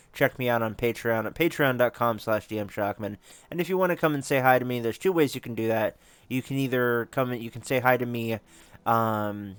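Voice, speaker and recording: A man's voice: medium pitch, dry recording. A man chatting casually and informally to viewers as host of a gaming channel, rambling a little. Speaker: host and player of a solo tabletop game channel.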